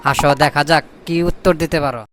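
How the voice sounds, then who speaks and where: A man's voice: fairly high pitch, fast talker, over music, lightly acted. A man voicing a scheming, self-important corrupt boss in a comic cartoon, pompous and sly, with colloquial Bangladeshi speech. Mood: scheming aside. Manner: pompous, mock-formal, sly.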